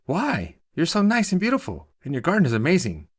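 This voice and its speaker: A man's voice: on the high side, huge pitch swings, a little fast, slightly rough, no echo, clean studio sound, pitched above the performer's own voice, heavily acted. A man voicing a cheerful, polite and chatty little girl for a short story, bubbly and earnest. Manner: bubbly, earnest, quotes mama's sayings.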